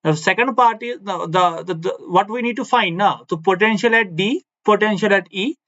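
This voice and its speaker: A high-pitched male physics teacher whose first language is Kashmiri, explaining step by step in simple English. He repeats himself for emphasis and keeps asking "no?" to check that students follow. The recording sounds slightly muffled and lo-fi. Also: moderate pace, a bit bright. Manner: repeats key phrases, asks "no?" for agreement. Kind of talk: blackboard physics lecture.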